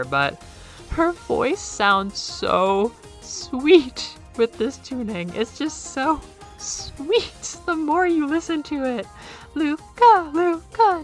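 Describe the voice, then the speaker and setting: A young woman YouTuber talking casually in a soft, airy, slightly nasal voice, excitable and rambling, her pitch leaping up when she quotes people. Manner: enthusiastic, excitable, jumps up in pitch when quoting; rambling with fillers and repeated words. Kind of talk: casual video essay.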